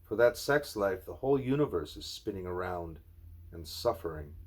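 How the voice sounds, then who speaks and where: A man reading a spiritual letter aloud at home, in a measured, earnest voice with deliberate pauses. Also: deep voice, animated. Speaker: reader of a spiritual book.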